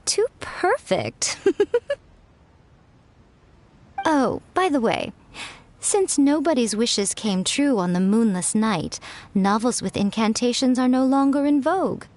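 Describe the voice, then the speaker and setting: A woman's voice: a little high, lively intonation, measured pace, a little mellow. An actress voicing a sly, teasing woman with a drawling, amused manner. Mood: smug. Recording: game audio with faint music behind.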